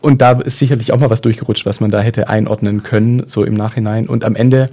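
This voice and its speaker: Low, mellow-voiced man musing aloud. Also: steady tone, fast. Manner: thoughtful, hesitant, many fillers and restarts. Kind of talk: casual discussion.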